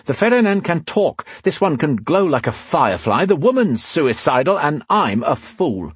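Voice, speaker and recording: An impatient, sardonic man, acted by a male narrator in a fantasy audiobook. The voice is pitched up and slightly husky, with a dry close sound. He sounds exasperated and sarcastic. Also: big pitch swings, normal speed, dry recording, heavily acted. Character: impatient, sardonic man, self-declared fool. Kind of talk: fantasy audiobook character acting.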